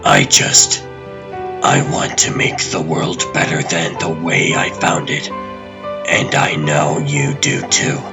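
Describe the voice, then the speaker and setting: A very low-voiced, unhurried vigilante hero, acted by a man, cocky and wry with an earnest streak. He speaks in a dry deadpan for a comic dub, over prominent music. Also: creaky, heavily acted. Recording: loud music bed under the voice.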